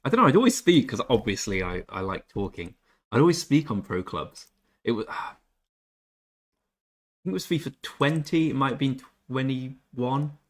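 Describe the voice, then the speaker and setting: A man's voice: mid-range voice, sing-song, normal speed. A young English man chatting casually on a game stream, reading chat and joking about himself. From England. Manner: chatty, self-deprecating, reads chat aloud.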